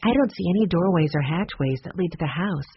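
A reader as a practical, observant husky-voiced girl. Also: mid-range voice, expressive, brisk, no room sound, muffled recording. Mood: curious.

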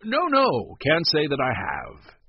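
A man's voice: a little high, wildly swooping pitch, slow pace, husky, dry recording, dull audio, heavily acted. A man voicing a flustered, evasive storybook character who hesitates, stammers and mumbles. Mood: nervous, evasive.

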